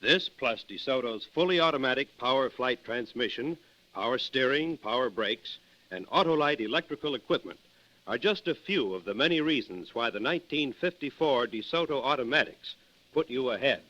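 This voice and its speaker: A man's voice: medium pitch, expressive, normal speed, bright, forceful tone. A man with an American accent delivering a scripted 1950s radio advertisement as an announcer. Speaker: commercial announcer. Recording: dull old radio recording.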